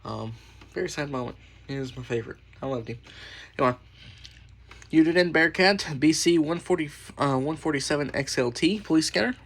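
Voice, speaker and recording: A man's voice: on the high side, a little fast, creaky, fairly bright tone, husky. A man giving a casual, rambling show-and-tell as a hobbyist host, muttering asides and swearing mildly. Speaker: radio collector and host. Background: weather radios playing.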